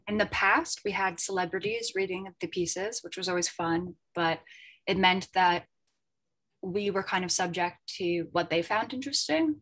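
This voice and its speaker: A woman's voice: fairly low pitch, a bit bright, poor audio. A woman answering a question on a panel, explaining informally and thinking aloud as she goes. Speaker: editor and panel guest.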